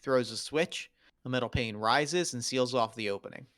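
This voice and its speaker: A man reads out screenplay stage directions as the narrator of a table read. His pitch sits in the middle of the male range, and the sound is dry, with no room around it. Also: moderate pace, a bit bright, no echo. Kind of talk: scripted narration of screenplay action lines.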